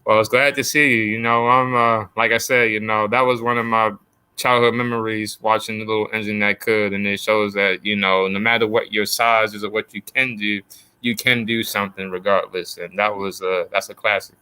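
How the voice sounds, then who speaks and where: A man hosting a casual interview podcast, upbeat and quick to laugh, with loose, chatty phrasing. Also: on the low side, flat delivery, bright, forceful tone, clear, resonant tone, no room sound, poor audio. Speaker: podcast host.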